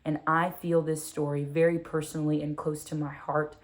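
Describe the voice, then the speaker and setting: A woman's voice: sits low, little pitch movement, moderate pace. A woman from Louisiana with an American accent, presenting an earnest, articulate reflection on a children's book. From Louisiana, United States. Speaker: read-aloud presenter.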